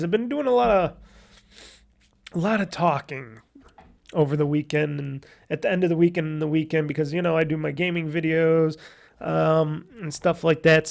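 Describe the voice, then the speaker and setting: A man with a raspy, nasal voice hosting a casual solo podcast on a USB microphone, rambling and correcting himself as he goes. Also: fairly high pitch. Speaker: podcast host.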